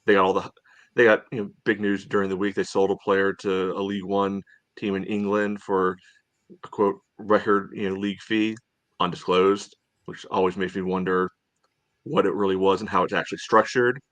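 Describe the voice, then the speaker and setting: A man co-hosting a soccer podcast in casual discussion, thinking aloud in a halting way. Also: sits low, level tone, a bit bright.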